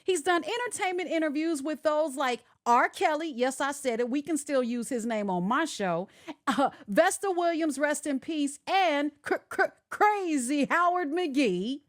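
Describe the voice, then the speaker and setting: A woman's voice: high voice, animated, a little slow. A woman hosting a radio talk show in a chatty, conversational way, speaking directly to her listeners.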